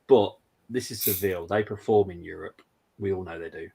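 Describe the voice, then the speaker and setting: A man's voice: sits low, steady tone, fairly quick, gravelly, warm tone, breathy edge. A man hosting a football podcast, upbeat as he puts questions to his co-hosts. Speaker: podcast host.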